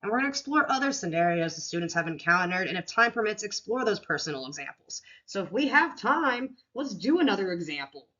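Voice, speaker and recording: A woman teacher with a deep voice talks casually through a classroom lesson plan, with many fillers and restarts. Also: sing-song, a little fast, hardly any pauses, fairly bright tone, muffled recording.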